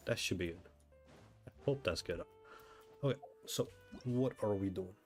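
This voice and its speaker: A man chatting casually as he livestreams a game, thinking out loud and often asking himself questions. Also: sits low, measured pace, faint music behind. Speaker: livestreamer, gamer. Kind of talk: casual livestream chat while playing a game.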